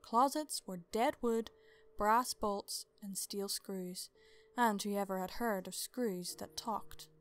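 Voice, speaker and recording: A woman narrating a story as host, in an expressive, measured, dramatic storybook style. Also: mid-range voice, soft background music.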